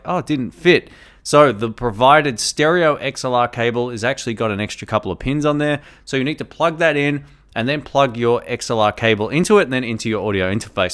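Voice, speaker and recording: A man's voice: medium pitch, smooth. A man casually and enthusiastically reviewing a microphone, explaining each step as he speaks into a condenser mic on his table at home. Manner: speaks at varying loudness for gain tests. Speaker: tech reviewer. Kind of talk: casual product review to camera. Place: home room with a fridge nearby.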